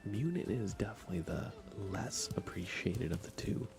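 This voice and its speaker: A man streaming ASMR in a soft, breathy whisper, chatting casually and gently while he plays a game. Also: fairly low pitch, big pitch swings, normal speed, music in the background. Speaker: livestream host. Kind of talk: ASMR livestream, casual chat while gaming.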